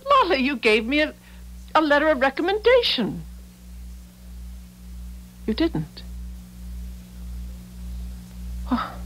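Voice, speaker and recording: A woman's voice: a little high, low-quality recording. An actress playing a polite, effusive, easily astonished society woman in a 1970s radio drama. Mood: stunned disbelief. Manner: polite, effusive, halting in disbelief. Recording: faint noise, old radio broadcast.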